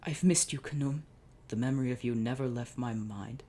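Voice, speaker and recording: A man's voice: medium pitch, moderate pace, mellow, lightly acted. A man voicing a proud young male pharaoh king who speaks in a solemn, declaiming way. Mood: tender.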